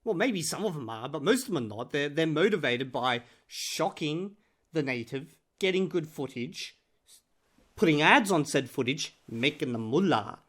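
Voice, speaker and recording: A man's voice: on the high side, animated, normal speed, fairly bright tone. A man giving casual, sweary reaction commentary in a blunt, sarcastic manner. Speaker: reaction video host.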